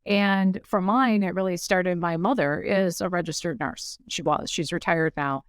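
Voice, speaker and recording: A woman's voice: lowish voice, moderate pace, no echo, studio quality. A woman in her fifties with a Midwestern American accent, telling her career story conversationally as an interview guest, explaining things articulately with frequent "you know" asides. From United States, small town in Illinois. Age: 50s. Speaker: interview guest, healthcare executive.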